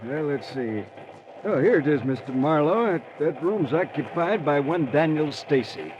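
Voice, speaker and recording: An unhurried male train conductor acted by a man in an old radio play. His voice is on the high side, matter-of-fact and hesitant as he helps. The vintage recording sounds muffled. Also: lively intonation, noisy background, close to an everyday voice. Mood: helpful, unhurried. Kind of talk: 1940s radio drama acting.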